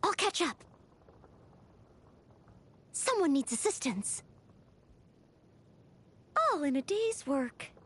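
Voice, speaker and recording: Very high-pitched earnest young maid knight. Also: female voice, very animated, takes it slowly, long pauses, fairly bright tone, exaggerated voice. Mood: earnest, dutiful. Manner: earnest, polite, theatrical delivery. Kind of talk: anime video game character voice lines. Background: music.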